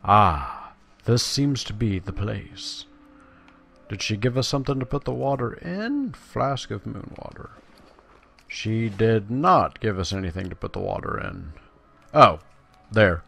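A man's voice: mid-range voice, gravelly, fairly bright tone. A man giving casual, playful gameplay commentary in a chatty, slangy way, sometimes reading quest text aloud. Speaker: video game player and commentator.

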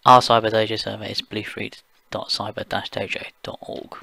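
A man from the UK talking through a software screencast step by step, plain and explanatory, with occasional fillers. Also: fairly low pitch, flat delivery, moderate pace, vocal fry, no echo. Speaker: software engineer giving a tutorial. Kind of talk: screencast walkthrough voice-over.

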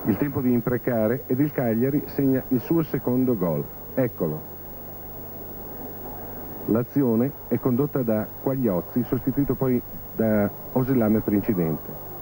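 A man reporting as a football journalist in a scripted highlights voice-over, with wry, ironic phrasing. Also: warm tone. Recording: noisy old 1980 Italian television broadcast.

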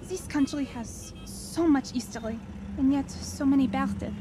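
A woman's voice: high voice, normal speed, background noise. An actress playing a lonely, earnest young wife and mother in an audio drama, speaking with feeling. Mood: earnest, questioning.

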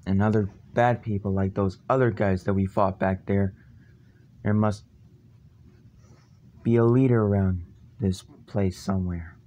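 A man plays a determined young hero in a fan-made zombie action story. The voice is fairly low and very slow. The lines come in halting, dramatic chunks, as a vow to fight evil. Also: steady tone, warm tone, lo-fi sound, close to an everyday voice. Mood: grave, wary. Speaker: amateur voice actor.

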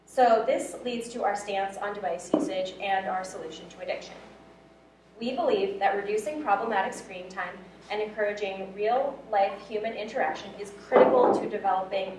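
A woman's voice: medium pitch, fairly quick, distant mic. A young adult woman giving a prepared student presentation in a lecture room. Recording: echoey room from afar, faint noise.

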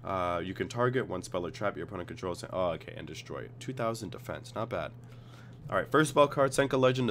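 A man with a cold and a slightly raspy voice, casually reading card text aloud and reacting as he reviews cards at his computer. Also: low-pitched, fairly bright tone, slight background noise. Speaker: card game tutorial host.

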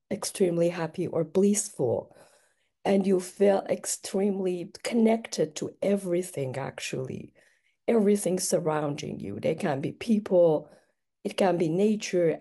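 A woman's voice: on the low side, dark tone. A young woman from Iran, a native Persian speaker, talking on a video call in a hesitant, reflective way with many fillers. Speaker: participant. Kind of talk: casual chat. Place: home room, indoors.

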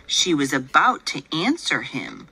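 A woman reading a picture book aloud in a gentle, patient storytelling manner, voicing the father. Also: sits low, wildly swooping pitch, measured pace, creaky, heavy background noise.

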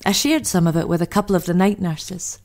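A woman's voice: lowish voice, fairly quick, darkish tone, natural voice. A woman playing a homesick, chatty First World War diarist, in a dramatic monologue. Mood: wistful, chatty. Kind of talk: dramatic monologue, diary reading.

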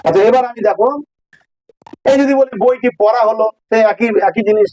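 Male tutor, extremely high voice-changed pitch, dry close sound, patient step-by-step explaining with lots of repetition. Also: fairly quick, a little mellow, dry recording, poor audio. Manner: didactic, repeats key phrases and checks understanding. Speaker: English teacher. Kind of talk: informal grammar lesson explained step by step.

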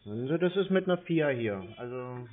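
A man from Germany stringing along a scam caller, slow and feigning confusion as he fumbles for details. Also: mid-range voice, animated. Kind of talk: playing dumb on a prank call. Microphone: phone. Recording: tinny phone line.

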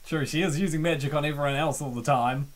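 A woman giving excitable, theatrical, joking reaction commentary as narrator of a comic dub. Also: low-pitched, quick pace.

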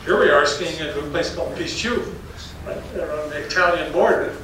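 A man's voice: on the high side, fairly quick, sharp tone. An older man giving a storytelling slide talk as a professor, folksy and anecdotal, chuckling between stories. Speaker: geology professor giving a public lecture. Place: darkened lecture hall with audience. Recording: very echoey hall, distant mic, faint noise.

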